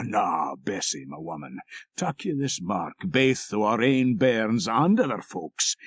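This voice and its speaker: Storybook role: male reader as sententious old Scottish shepherd, stern and preachy, lively rise and fall, close dry sound. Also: a little high, no room sound.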